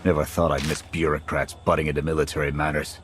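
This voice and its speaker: An actor playing a weary, principled soldier in a video game, gruff and terse. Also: male voice, rumbling low, level tone, fast talker. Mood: weary, bitter. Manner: terse, military, matter-of-fact. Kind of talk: scripted video game drama acting. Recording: faint game ambience and noise.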